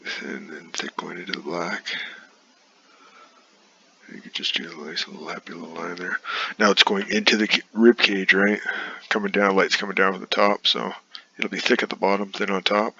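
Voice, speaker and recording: Man with a cold, low flat gravelly voice, husky and bright-edged, muttering through an inking demo on a dull lo-fi recording. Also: normal speed. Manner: relaxed, talks while working, soft asides. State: has a cold, congested. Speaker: comic artist demonstrating inking. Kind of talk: casual drawing demonstration.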